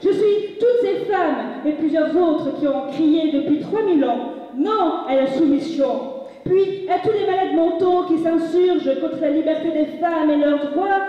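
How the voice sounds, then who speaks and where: A high-voiced woman reads texts aloud in a slow, declamatory way. Her voice rings through a large hall with a long reverb, picked up from far off. The recording sounds dull and muffled. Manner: declamatory, measured. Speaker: reader at a musical reading. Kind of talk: dramatic reading of texts. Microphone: distant from the mic.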